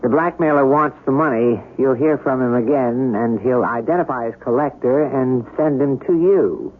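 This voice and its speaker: A man's voice: medium pitch, normal speed, natural voice. Actor as a cool studio man, tinny old radio. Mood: matter-of-fact. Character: cool, persuasive studio man advising a film star. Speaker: actor in a radio mystery drama. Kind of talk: old-time radio drama acting. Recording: noisy, narrow-band old radio broadcast.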